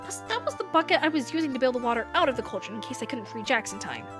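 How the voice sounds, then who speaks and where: A young woman streamer with a hoarse, worn voice, reading a dating game aloud and chatting casually to viewers. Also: high voice, sing-song, racing. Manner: casual, playful, trailing off with restarts. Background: music.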